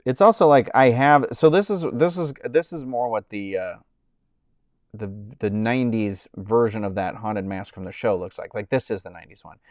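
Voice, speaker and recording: A man's voice: moderate pace, darkish tone, dry recording, clean studio sound. Rambling man chatting on a live stream. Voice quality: says he has a high-pitched voice. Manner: rambling, many fillers and restarts. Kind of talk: casual live-stream chat.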